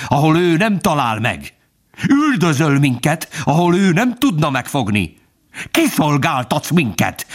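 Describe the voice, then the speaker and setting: Man playing a wounded, husky, bitter fox. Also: deep voice, darkish tone. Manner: drawn-out, pained, hissing and pleading. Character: wounded dying fox, humble then bitterly contemptuous.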